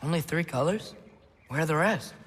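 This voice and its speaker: A man's voice: fairly high pitch, lively intonation, normal speed, a bit bright, breathy edge. A young male actor playing an earnest, curious, slightly awkward teenage boy in a video game, talking casually and hesitantly. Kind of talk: naturalistic video game acting.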